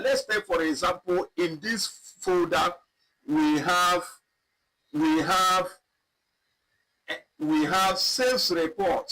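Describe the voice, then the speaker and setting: Slow-paced man teaching a software class. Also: sits high, poor audio. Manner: slow, repetitive, thinking aloud while clicking. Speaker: instructor. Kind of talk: live online software tutorial.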